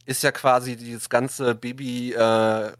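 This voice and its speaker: A man's voice: medium pitch, little pitch movement, takes it slowly, dry recording. Male podcast host, mid-range voice, casual interview chat, very dry close sound.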